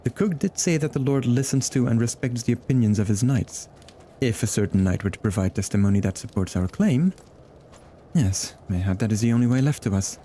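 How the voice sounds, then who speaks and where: A man's voice: medium pitch, a little fast, dark tone, slight background noise, natural voice. A man reading the lines of a formal, earnest young male noble scholar from a game, with game music playing behind him. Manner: reads formal game dialogue aloud, stumbling on long words.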